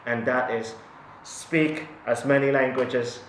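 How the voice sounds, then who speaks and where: A man in his forties from Hong Kong, a native Cantonese speaker, talking unscripted about his family and often pausing, restarting and filling with "um" and "like". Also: mid-range voice, steady tone, distant mic, slight background noise. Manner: hesitant, many restarts and fillers. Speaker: parent sharing his experience. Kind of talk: unscripted talk to camera. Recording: echoey room, mic set back.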